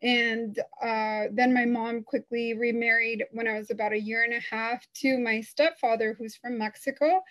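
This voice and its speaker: A woman in her thirties from El Salvador tells her own story over a video call. Her voice sits fairly high, and she talks openly and casually with plenty of pauses. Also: fairly even intonation, fairly bright tone. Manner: open and conversational, frequent uh and um. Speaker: foundation board member.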